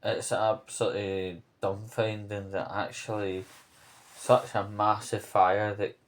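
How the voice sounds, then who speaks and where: A man's voice: lowish voice, flat delivery, slow. A man with a Glasgow-area Scottish accent giving an earnest, hesitant, informal update to camera from his kitchen at home. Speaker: vlogger.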